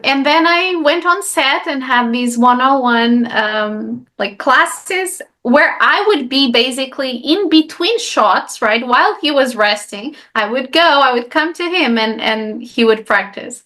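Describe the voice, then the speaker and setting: Portuguese woman with a high voice. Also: lively intonation, a little slow, sharp tone. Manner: lots of fillers, often asks "right?". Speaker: guest, life coach. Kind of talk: casual interview chat.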